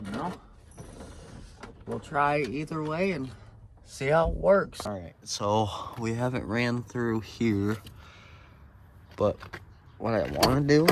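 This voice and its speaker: A man giving a casual hands-on tutorial in an informal, unpolished way, thinking aloud as he works. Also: lowish voice. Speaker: amateur video host. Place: in and around a pickup truck. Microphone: phone camera mic. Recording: noisy phone recording, vehicle noise, clicks.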